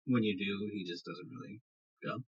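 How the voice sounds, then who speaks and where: Dull lo-fi audio, low husky guy game mastering, explains stuff conversationally. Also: normal speed. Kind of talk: improvised narration of a tabletop game.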